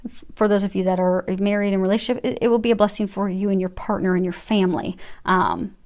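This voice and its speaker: A woman giving a casual tarot reading, gentle and reassuring, with frequent fillers and restarts. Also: mid-range voice, fast. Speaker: tarot reader.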